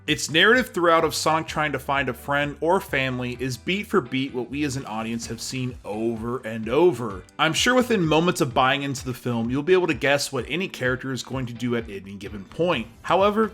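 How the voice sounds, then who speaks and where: A man's voice: mid-range voice, a bit bright. A man hosting and narrating a scripted film review.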